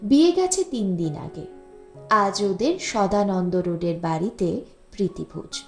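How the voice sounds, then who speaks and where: A woman reading a literary short story as an audiobook narrator, in a measured storytelling manner. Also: mid-range voice, animated. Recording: echoey room, music bed underneath. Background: background music.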